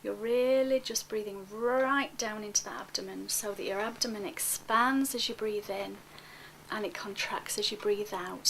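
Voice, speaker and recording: A woman coaching a guided relaxation, speaking gently and unhurriedly, with soft phrase endings that often sink toward a whisper. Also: mid-range voice, vocal fry, slight background noise, low-quality recording. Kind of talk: guided relaxation, spoken softly to one listener.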